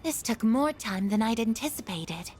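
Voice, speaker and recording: A woman plays a gentle, literal-minded female android in a video game. Her voice is fairly high and lilting, with formal, precise phrasing and earnest curiosity, over music. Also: expressive, moderate pace, natural voice. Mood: curious, earnest. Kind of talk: English video game dub acting.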